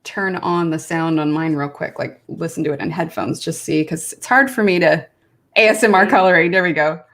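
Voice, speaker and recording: A loud, bubbly woman colors along on a live stream from home. She chats freely, laughs a lot, and pokes fun at her own voice. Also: deep voice, brisk. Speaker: guest co-host on a coloring stream. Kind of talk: casual live-stream chat.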